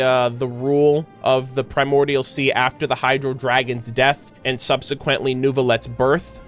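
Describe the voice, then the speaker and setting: Guy with a kinda high voice doing game lore readings and casual commentary, faint music behind him. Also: fairly bright tone. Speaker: gaming reaction host.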